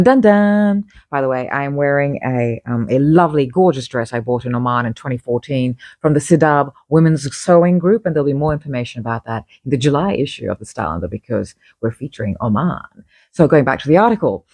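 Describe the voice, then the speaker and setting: A woman's voice: low voice, a little mellow, dry recording. A woman reading her own magazine article aloud, emphatic and opinionated, breaking off for chatty asides.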